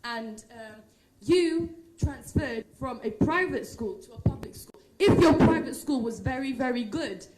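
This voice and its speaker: A woman's voice: sits high, animated, measured pace, few pauses, darkish tone. Combative young woman debater in an echoey room. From Britain. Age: young adult. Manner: combative, challenging. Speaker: university student debater. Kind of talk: argumentative debate rebuttal. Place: debate event hall. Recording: roomy echo, faint noise and clicks.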